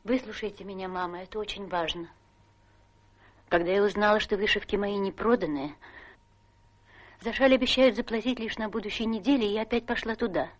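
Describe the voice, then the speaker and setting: A gentle widowed mother in a radio drama, played by an actress. She is earnest and polite, with proud dignity and a medium pitch. The recording is a little dull. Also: a little fast, pauses often, close to an everyday voice. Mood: earnest urgency. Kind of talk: Russian radio drama stage acting.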